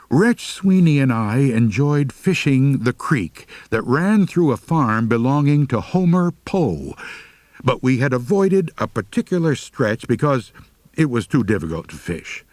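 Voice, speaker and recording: A man's voice: medium pitch, no echo. A man narrating a humorous first-person story as an audiobook reader, with a wry, mock-serious delivery.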